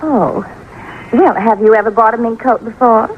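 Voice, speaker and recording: A woman's voice: on the high side, normal speed, natural voice. Woman as a polite, ingratiating city lady, muffled. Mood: coyly helpful. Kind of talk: old-time radio acting. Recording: muffled, noisy old radio recording.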